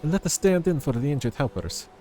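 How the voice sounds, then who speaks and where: A man's voice: on the high side, sing-song, fast talker, mellow. A man streaming a video game, reading its dialogue aloud and chatting casually, sometimes stumbling over the words. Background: game music.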